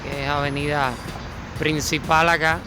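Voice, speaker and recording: A man's voice: on the high side, little pitch movement, unhurried, poor audio. Chatty Cuban man, music behind. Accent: Cuban Spanish. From Cuba. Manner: chatty, thinks aloud, repeats words mid-sentence. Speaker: vlogger. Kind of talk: casual travel vlog narration. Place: city streets outdoors in winter.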